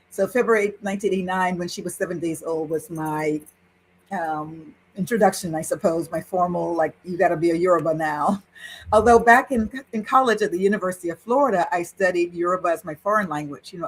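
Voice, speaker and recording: A woman in her sixties, a priestess and interview guest, recounting her spiritual journey in an animated way with frequent laughter. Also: on the low side, husky, poor audio. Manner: animated, laughs while telling a story. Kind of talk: personal storytelling in conversation.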